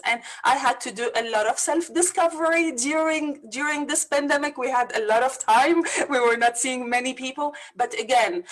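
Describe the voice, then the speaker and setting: A woman's voice: fairly high pitch, a bit bright, low-quality recording. A woman from Lebanon with a Lebanese accent, a business coach speaking earnestly and energetically as a guest in a video-call interview.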